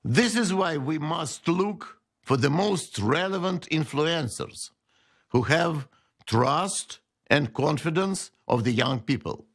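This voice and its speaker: A man delivers a prepared speech at a memorial ceremony, slow and emphatic. His voice sits slightly high for a man, with a gravelly fry through it. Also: expressive. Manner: deliberate, emphatic.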